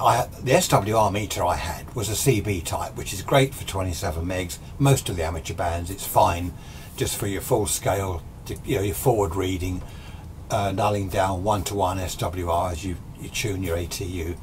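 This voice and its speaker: An English man in his sixties with a very low-pitched voice talks to camera as a hobbyist host. He explains things informally and ramblingly, often rephrasing himself. The recording is made in a small workshop, with noticeable background noise. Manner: rambling, conversational, self-correcting. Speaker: amateur radio and vintage radio video host. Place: small cluttered indoor radio workshop. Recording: clear background noise, room ambience.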